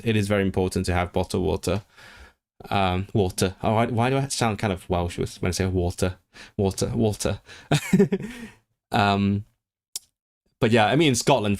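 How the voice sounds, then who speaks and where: A man chatting casually on his own livestream, rambling with frequent restarts and fillers. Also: fairly low pitch. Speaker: language streamer.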